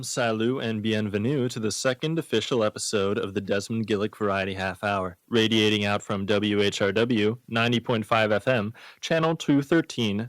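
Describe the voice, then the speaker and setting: A man's voice: lowish voice, no room sound, rough recording. A man hosting a radio variety show, reading a florid, playful scripted introduction. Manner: florid, playful showman wording.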